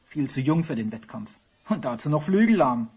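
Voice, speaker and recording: A worried royal falconer in a children's radio play. His voice is husky and very lively in pitch. He complains theatrically, like a put-upon servant open to a swindle. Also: normal speed. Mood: grumbling.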